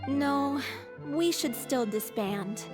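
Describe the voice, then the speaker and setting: Casting: gentle, stubborn young stage performer for a game, high voice, conversational, over music. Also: female voice, animated, slow. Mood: gentle, resigned. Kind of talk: video game voice acting. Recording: music behind the voice.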